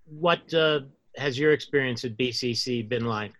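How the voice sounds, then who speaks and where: A man's voice: mid-range voice, normal speed, a bit bright. A man hosting a podcast, asking guests questions in a conversational interviewing style. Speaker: podcast host.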